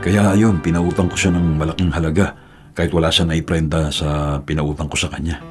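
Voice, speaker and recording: Deep-voiced, kindly Filipino radio drama moneylender. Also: male voice, level tone, brisk, faint music behind. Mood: compassionate. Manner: courteous, reassuring. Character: kind, generous, compassionate male moneylender.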